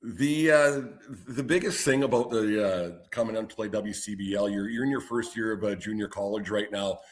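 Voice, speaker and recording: Lowish-voiced man asking halting questions. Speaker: sports show co-host. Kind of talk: casual interview questions.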